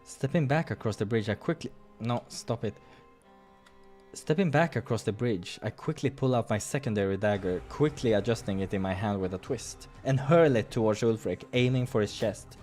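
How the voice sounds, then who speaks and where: A man reading a visual novel aloud as a playthrough host, expressive in the storytelling with casual asides. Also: on the low side, normal speed, soft background music.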